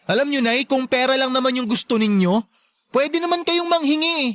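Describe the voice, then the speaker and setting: A man's voice: extremely high pitch, moderate pace, fairly bright tone, dry recording. A young man playing a resentful, neglected grown son in a radio drama, plaintive and reproachful but respectful. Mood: hurt, reproachful. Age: young adult. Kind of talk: emotional radio drama acting.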